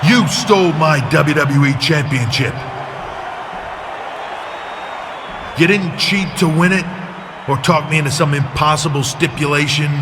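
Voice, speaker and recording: An actor playing a menacing, vengeful wrestling champion who declaims threats in a scripted game promo. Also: male voice, fairly high pitch, natural voice. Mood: accusing. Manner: slow-burning, threatening, declamatory. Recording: loud arena crowd and noise.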